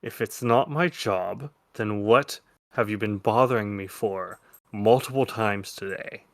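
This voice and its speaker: A man's voice: fairly low pitch, measured pace. Man playing a grumpy, sulky wizard. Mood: irritated. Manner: irritated, dry. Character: grumpy, sulky male wizard in a tabletop game.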